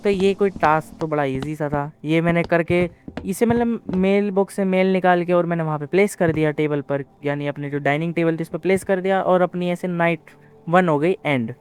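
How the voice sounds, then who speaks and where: A man's voice: on the high side, moderate pace, a little hiss or hum. A man casually telling the story of his gameplay, colloquially, with English words mixed in. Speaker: gaming video narrator.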